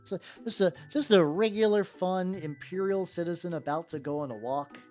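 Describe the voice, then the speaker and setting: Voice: medium pitch, normal speed, darkish tone. A streamer chatting casually and irreverently while painting miniatures, swearing freely and often stammering or repeating words mid-sentence. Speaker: livestreamer. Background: background music.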